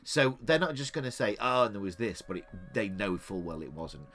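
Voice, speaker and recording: English man, low voice, animated pitch, casual podcast co-host, rambling, restarts a lot. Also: fairly quick. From England. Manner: rambling, restarts often, laughs easily. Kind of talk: casual chat.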